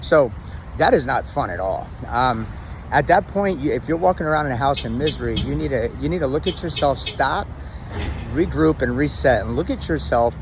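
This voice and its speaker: A middle-aged man with a loud, dominant voice gives relationship advice to camera in a loose, rambling way, with plenty of ums and restarts. His pitch sits right in the middle for a man. He records outdoors, with faint car and street noise behind him. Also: animated, normal speed. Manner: says he has a loud, dominant voice. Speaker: vlogger giving relationship advice. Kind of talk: casual talk to camera.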